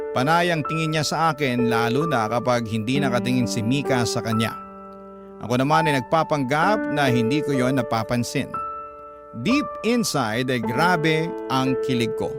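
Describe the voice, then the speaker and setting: Man narrating over loud music. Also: mid-range voice. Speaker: radio storyteller. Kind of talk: first-person scripted story narration. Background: loud instrumental music.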